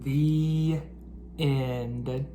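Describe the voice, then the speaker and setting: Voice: mid-range voice, fairly even intonation, very slow, warm tone, slight background noise. A read-aloud host narrating storybooks and talking to children in an encouraging, teacherly way.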